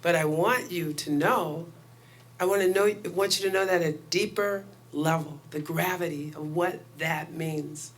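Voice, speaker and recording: A woman guest pastor with a loud voice giving a chatty sermon and prayer to a congregation, laughing often between lines. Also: lowish voice, measured pace. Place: house of worship.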